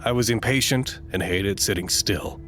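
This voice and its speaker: A man acting as a solitary radio operator in an audio drama, with a low voice. He reports in measured, articulate sentences, dry and sardonic, with a reflective streak. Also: a bit bright, slight background noise, lightly acted. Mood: wistful, wry. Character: wry, lonely, reflective male radio operator reporting to command.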